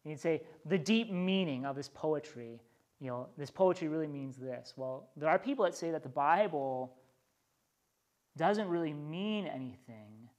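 An American man with a fairly high voice leads a small Bible study. He teaches conversationally, shifting between reading texts aloud and explaining them in plain, informal words. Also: animated, normal speed, darkish tone.

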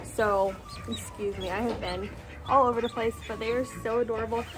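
A woman in her twenties chatting informally to the camera in a casual farm vlog, doting and quick to laugh. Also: a little high, steady tone, over music. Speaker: farm vlogger. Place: wooden barn and farmyard. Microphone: phone at arm's length.

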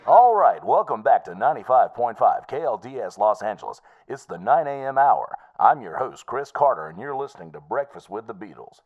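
A male morning radio host with practiced patter. The delivery is animated, and the sound is dull and lo-fi like an old radio. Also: medium pitch, a little fast, hardly any pauses. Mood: upbeat.

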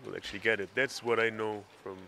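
A man's voice: fairly low pitch, fairly even intonation, quick pace, gravelly, pushed and bright, a little hiss or hum. A young man from Germany, a student, chatting easily into a handheld mic in an indoor lounge. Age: 20s. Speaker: student interviewee. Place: indoor university lounge booth. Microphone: handheld interviewer mic.

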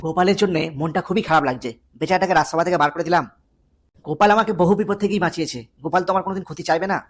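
A woman's voice: on the low side, very fast, a little hiss or hum, natural voice. A woman voicing an earnest, formal male king in a comic cartoon. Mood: regretful. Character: earnest, trusting but stern male king.

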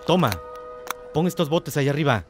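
An actor playing a scornful, controlling village husband in a radio drama, gruff and bossy. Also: male voice, a little high, fairly quick, natural voice. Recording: music under the voice.

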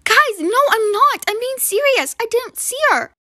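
Woman acting a high-pitched, pleading camper girl. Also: animated, normal speed, bright, forceful tone, no room sound, clean studio sound, exaggerated voice. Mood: alarmed, pleading. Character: anxious, pleading young camper girl, earnest and hurt. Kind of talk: amateur voiced toy-doll roleplay.

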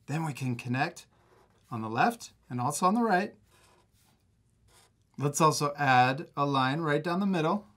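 A man's voice: on the high side, expressive, a bit bright. A man in his thirties teaching a step-by-step drawing lesson for children, upbeat and encouraging. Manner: upbeat, encouraging, explains slowly. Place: art studio.

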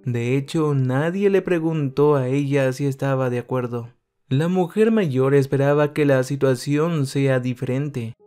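A man narrates a dramatic family tale in a calm, level reading voice. His pitch sits right in the middle for a man. Faint guitar music runs quietly beneath his words. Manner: even, steady reading that speaks every character's lines in the same voice. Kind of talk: scripted storytelling narration. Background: soft guitar music.

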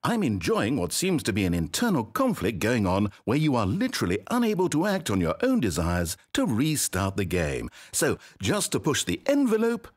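An actor playing a smug, mocking game narrator who teases the player in dry, sarcastic tones. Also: male voice, mid-range voice. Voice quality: dry, teasing delivery with sly sarcasm.

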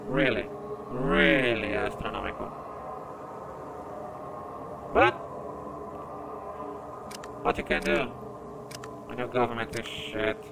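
A man's voice: mid-range voice, slow pace, pauses often. A man with a Brazilian accent, a native Portuguese speaker, giving casual, hesitant commentary as he plays a game. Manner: hesitant, expressive. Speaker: gamer, gameplay host. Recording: clear background noise.

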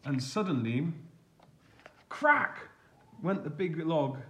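A man with a slightly high voice reads a picture book aloud for children. He is expressive and deliberate, like a teacher, and leans on the action words. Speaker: teacher and storyteller. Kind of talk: reading a children's storybook aloud.

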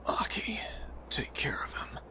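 A man playing a gruff, protective young man in an anime, breathy and halting as if dying. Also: bright, forceful tone, whispered. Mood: fading.

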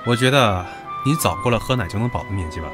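An actor playing a brash, rude young heir who speaks in a brusque, commanding way. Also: male voice, lowish voice, lively intonation, quick pace, lightly acted. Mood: bossy. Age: young adult. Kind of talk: radio drama acting. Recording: background music.

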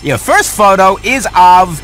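Sarcastic house cat, high-pitched, lively swings, fussy, sardonic, video game cartoon. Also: male voice, measured pace, soft background music, full character voice. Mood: wry, instructing. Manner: wisecracking, sardonic. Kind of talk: animated video game cutscene acting.

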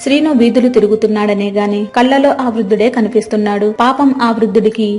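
A woman's voice: medium pitch, steady tone, fast talker, a little mellow, soft background music. A woman reading scripted narration for a moral story.

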